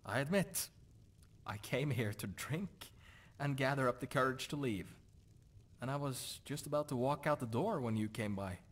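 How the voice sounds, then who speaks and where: A disillusioned lord from a fantasy game, acted by a man. His voice sits at a middling pitch, and he speaks in a dour, brooding way, as someone forever questioning his own purpose. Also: lively intonation, moderate pace, natural voice. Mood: dour, weary. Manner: gloomy, brooding, self-questioning. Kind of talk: video game character dialogue.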